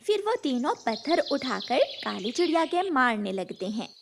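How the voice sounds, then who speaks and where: Female storyteller, children's cartoon narration, high pitch, lively intonation, faint background noise. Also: normal speed, creaky.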